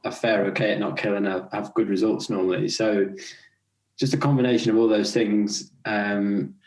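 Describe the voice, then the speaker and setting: A young man with an English accent talks in a relaxed, chatty way, often laughing as he tells stories. His voice sits fairly low. The recording sounds roomy and echoey. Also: normal speed. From Scotland. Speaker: podcast guest, racing rider. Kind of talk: casual interview chat.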